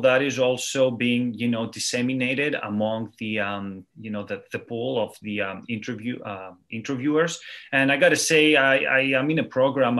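A man's voice: medium pitch, a bit bright. A man in his thirties from Greece, a surgical resident, explaining things earnestly with many ums and you-knows over a video call. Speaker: neurosurgery resident guest. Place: small room at home, indoors. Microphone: video call webcam mic.

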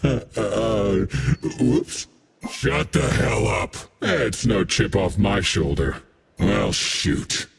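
Gravelly, cocky demonic gang boss. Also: male voice, on the low side, drawn-out words, a crowd in the background, heavily acted. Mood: cocky, joking. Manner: boastful, taunting delivery. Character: cocky, menacing demon-powered sea god gang boss. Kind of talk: video game character voice pack.

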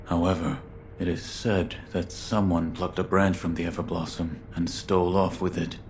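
A very deep, stoic shinobi. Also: male voice, normal speed, a little hiss or hum. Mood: stoic, solemn. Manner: terse, deadpan, formal. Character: stoic, loyal shinobi swordsman, grim and reserved. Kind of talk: video game voice acting.

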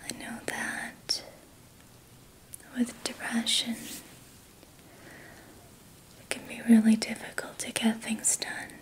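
Young woman, soft whisper, soothing ASMR guide, very even tone, long pauses. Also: a little high, rough recording. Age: young adult. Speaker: ASMR host doing a healing roleplay. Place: small indoor room at night.

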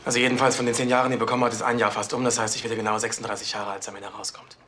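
A man's voice: lowish voice, level tone, very fast, pushed and bright. A man playing a bitter, accusing young son in a naturalistic radio crime drama. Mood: defiant, brooding.

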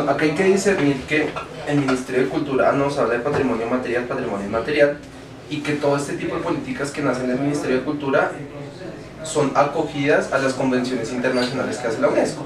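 A young man, a gastronomy lecturer calmly explaining ideas in an interview in a small office. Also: medium pitch, echoey room, far from the mic, low-quality recording. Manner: explanatory, academic, casual slang. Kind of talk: interview answers.

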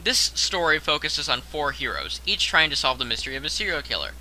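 Young adult male list-show host, very bright forceful voice, slightly high, scripted but conversational delivery. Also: sing-song, brisk, a little hiss or hum, poor audio. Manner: conversational, addresses the viewer with rhetorical questions. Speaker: countdown video host. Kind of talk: scripted top-ten list narration.

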